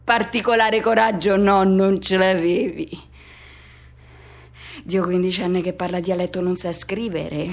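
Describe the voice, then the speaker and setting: A woman's voice: lowish voice, steady tone, a little slow, gravelly. An actress playing a man who recalls his first teenage love in a radio theatre monologue, in fragmented, repetitive, poetic phrases. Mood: tender, dreamy.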